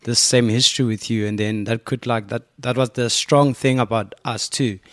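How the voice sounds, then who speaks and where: A man from Cape Town with a South African accent, giving casual, halting interview answers as a guest artist. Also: mid-range voice, steady tone, a bit bright, no echo, clean studio sound. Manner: halting, repeats words and starts over. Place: gallery space.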